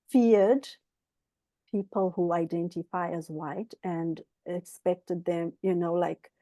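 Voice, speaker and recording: A woman from Zimbabwe giving a candid, earnest personal account as a guest author at a book club, often halting as she reflects. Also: on the low side, dark tone, no echo.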